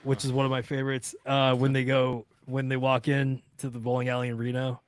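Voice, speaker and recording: A man's voice: mid-range voice, completely flat delivery, fairly quick, smooth. A man co-hosting a podcast, chatting casually in a studio. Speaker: podcast co-host. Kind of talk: casual banter.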